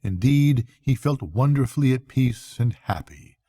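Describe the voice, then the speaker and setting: A man's voice: medium pitch, lively intonation, measured pace, dark tone, slightly rough, no room sound, clean studio sound. An older man narrating a classic storybook as bedtime reading.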